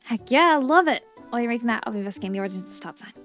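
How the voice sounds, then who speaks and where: Cheerful scatterbrained knight, read by a female player, higher register, proud and curious, game music underneath. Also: animated, very fast, fairly bright tone. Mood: eager, boastful.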